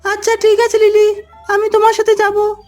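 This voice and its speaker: A woman's voice: very high-pitched, completely flat delivery, moderate pace, a little mellow, faint music behind. A woman playing a timid, cautious little rabbit in a cartoon, speaking in a hesitant way. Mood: agreeable. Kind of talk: cartoon story voice acting.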